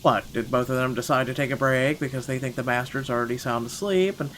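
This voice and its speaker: A man voices a wealthy, short-tempered gentleman narrating his own thoughts in a horror visual novel. The delivery is dramatic and indignant at a medium pitch, over clearly audible background ambience. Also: quick pace, slight background noise, natural voice. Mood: annoyed, wry. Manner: indignant, expressive, stumbles over the script. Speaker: gaming channel host.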